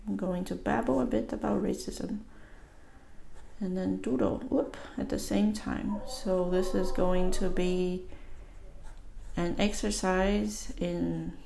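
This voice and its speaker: A woman from the United States hosting her own channel, talking casually and thinking aloud in a halting, musing way while she doodles. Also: medium pitch, steady tone, vocal fry, mellow, slight background noise. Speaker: channel host.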